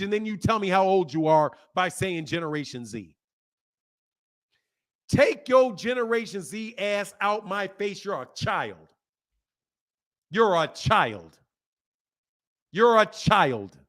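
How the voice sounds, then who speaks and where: A man's voice: high-pitched, big pitch swings, slow, pauses often, a bit bright. A man in his fifties hosting a boxing channel, scolding and insulting a caller in a heated live argument. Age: 50s. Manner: insulting, scolding, repeats himself.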